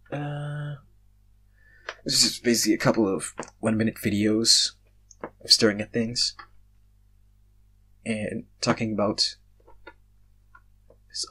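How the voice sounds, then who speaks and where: Husky man reading fan fiction. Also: medium pitch. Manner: informal, occasional stumbles over the text. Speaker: fan fiction reader, channel host. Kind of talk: amateur story narration and casual sign-off.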